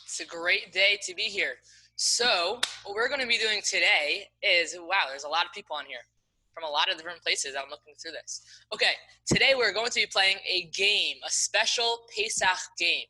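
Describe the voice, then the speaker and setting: A woman's voice: lowish voice, moderate pace, sharp tone, rough recording. A woman hosting a riddle game on a video call, upbeat and giving friendly instructions. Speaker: game host for an online Hebrew school.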